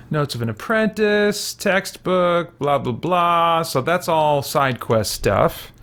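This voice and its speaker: A man's voice: fairly high pitch, a bit bright. A man giving casual gameplay commentary and reading bits of quest text aloud. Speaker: gaming channel host.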